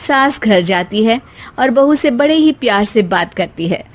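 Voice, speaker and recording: Casting: female narrator for scripted family bedtime stories, high-pitched, highly animated delivery. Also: a little slow. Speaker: story narrator. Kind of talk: scripted narration of a Hindi moral bedtime story.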